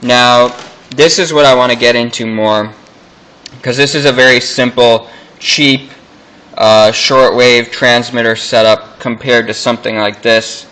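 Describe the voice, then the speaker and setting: A man with a bright, clear voice talks through hobby electronics in a casual, informal way. He goes slowly and haltingly, explaining technical details piece by piece as he demonstrates. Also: medium pitch, low-quality recording. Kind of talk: casual explanatory product walkthrough.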